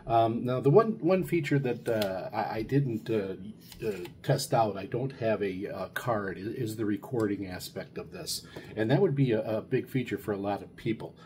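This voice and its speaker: A man with a fairly low, dark and relaxed voice talks through a casual gear review. He speaks haltingly and rambles, often repeating words as he feels his way along. There is a faint background noise behind him. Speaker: video host reviewing radio gear. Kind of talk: casual unscripted product review.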